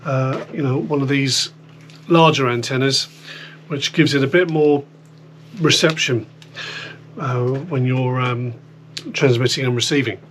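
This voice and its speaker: A man's voice: medium pitch, steady tone, a little slow, long pauses, a bit bright. A British man giving a casual hands-on product demonstration, rambling informally as he explains things step by step. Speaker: host of a radio gear demonstration video. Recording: clear background noise with electrical hum.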